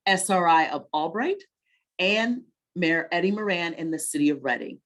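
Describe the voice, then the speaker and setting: A woman with a slightly low-pitched voice presents to children as a story time host. She speaks conversationally, like an encouraging teacher who checks in with questions and echoes back the answers she gets. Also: expressive, normal speed, a bit bright.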